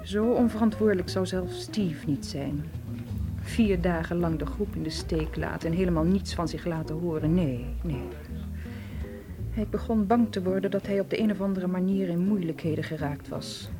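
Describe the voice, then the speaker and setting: A woman's voice: on the low side, normal speed, over music, close to an everyday voice. An actress playing an anxious, evasive female tour guide in a radio drama, explaining herself hesitantly and defensively. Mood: anxious, confessing.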